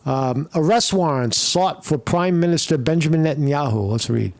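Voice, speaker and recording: A man muses aloud over clips he is watching, trailing off into fillers and restarting his sentences, with the odd curse thrown in. His voice sits a bit high for a man and comes across dry and close to the mic. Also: moderate pace, no echo. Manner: meandering, profane, many fillers and restarts. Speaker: commentary streamer. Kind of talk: rambling casual commentary over videos.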